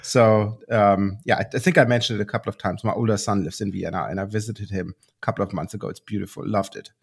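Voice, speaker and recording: A man's voice: on the low side, steady tone, quick pace, gravelly, slightly rough. A man from the United States co-hosting a podcast, joking and slightly hesitant. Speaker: podcast co-host. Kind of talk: podcast interview.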